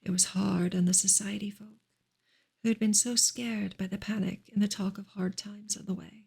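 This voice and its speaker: A woman reading a novel aloud in a soft, hushed, breathy ASMR style, often slipping into a whisper. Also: medium pitch, little pitch movement, moderate pace. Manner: hushed, gentle delivery.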